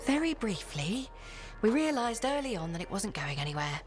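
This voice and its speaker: A woman plays a scholarly game character who is frank and a little easily flustered. Her voice sits at a medium pitch, dryly humorous, with clear music behind. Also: sing-song, normal speed, lightly acted. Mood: candid, dry. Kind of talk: video game dialogue acting.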